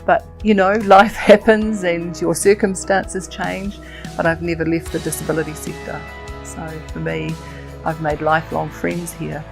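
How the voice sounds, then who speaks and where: Low, gravelly older New Zealand woman. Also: level tone, music in the background. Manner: earnest and measured, with frequent fillers. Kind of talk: interview answers.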